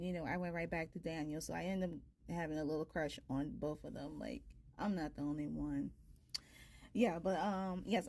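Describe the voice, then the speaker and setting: A woman in her thirties with a soft voice, a tarot reader chatting casually and ramblingly with her viewers. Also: lowish voice. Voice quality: soft-spoken. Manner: rambling, many fillers. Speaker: tarot reader, channel host.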